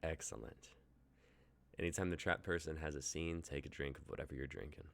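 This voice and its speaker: A man's voice: a bass voice, fairly even intonation, fairly quick, vocal fry. A man in his twenties hosting a casual livestream chat, upbeat and jokey as he runs the show. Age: 20s. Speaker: host.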